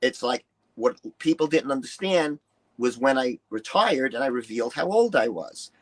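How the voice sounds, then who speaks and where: A man's voice: mid-range voice, animated, normal speed. A man, telling personal stories candidly as an interview guest, blunt and emphatic, swearing freely and acting out other people's remarks. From New York City, United States. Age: early 60s. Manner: blunt, profane, emphatic, acts out quoted dialogue. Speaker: interview guest, retired musician and bodybuilder.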